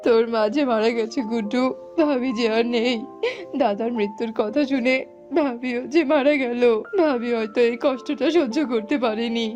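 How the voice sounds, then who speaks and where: A woman's voice: fairly high pitch, normal speed, a little mellow, lightly acted. A woman voicing a callous, grudging younger jackal uncle in a dramatic, exclamatory cartoon style. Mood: distressed. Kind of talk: cartoon voice acting. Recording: muffled, music underneath.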